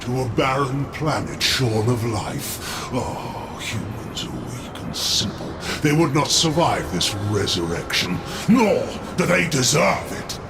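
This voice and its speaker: Evil corrupted spirit, bitter game villain, slow theatrical delivery that swings all over, music under it. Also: male voice, mid-range voice, animated. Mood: scornful. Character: vengeful fallen brother turned spirit of corruption. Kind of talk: video game villain acting. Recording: music behind the voice.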